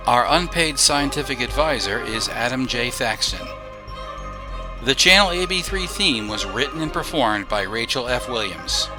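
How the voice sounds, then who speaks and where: Casting: male podcast announcer, mid-range voice, reading end credits and listener appeals over a clear music bed. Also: medium pitch. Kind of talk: scripted credits read.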